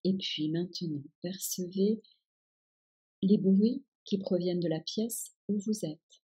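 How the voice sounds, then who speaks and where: Female meditation guide, low-pitched and very unhurried, calm and soothing delivery of relaxation instructions. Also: husky, poor audio. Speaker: yoga nidra guide.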